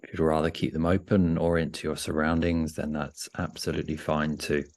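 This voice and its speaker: A man leading a guided meditation in a gentle, unhurried way, often pausing mid-sentence. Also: a bass voice, flat delivery.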